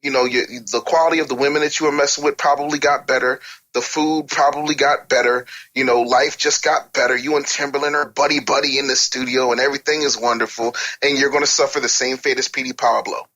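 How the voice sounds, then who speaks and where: A man's voice: mid-range voice, fairly even intonation, quick pace, bright, forceful tone, poor audio. A man speaking as an interview guest, telling casual stories and giving blunt, joking opinions in slangy speech. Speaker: interview guest, music business and branding adviser. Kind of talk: animated casual storytelling and opinion.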